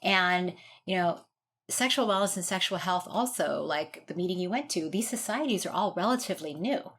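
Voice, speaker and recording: A woman's voice: lowish voice, animated, moderate pace, a bit bright, slightly rough. An American woman speaking as a guest doctor in an interview, explaining her views in an informal, conversational way. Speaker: guest doctor, urogynecologist and researcher.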